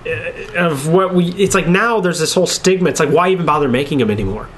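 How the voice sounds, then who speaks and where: A man's voice: fairly high pitch, fast, a bit bright. A man co-hosting an entertainment show in casual back-and-forth banter. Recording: clearly noisy room recording.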